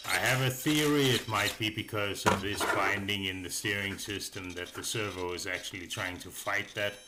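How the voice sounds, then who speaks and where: Casting: man, deep voice, casual hobby reviewer doing voice-over, halting delivery with fillers, noticeable background noise. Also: fairly even intonation. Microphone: voice-over microphone.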